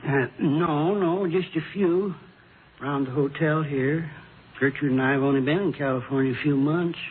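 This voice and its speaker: An actor playing a grieving, bewildered widower past sixty-five, polite and halting, in a vintage radio drama. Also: male voice, fairly high pitch, close to an everyday voice. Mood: polite, subdued. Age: over sixty-five. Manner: halting, polite, plain folksy speech. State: shaken with grief. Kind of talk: 1950s radio drama acting. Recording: tinny, noisy vintage radio recording.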